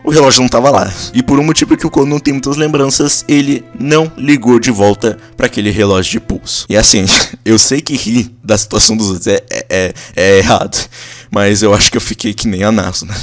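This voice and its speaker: A man's voice: lowish voice, steady tone, fairly quick. Brazilian man recapping over background music. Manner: informal, conversational. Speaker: anime reviewer. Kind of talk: casual episode recap and commentary.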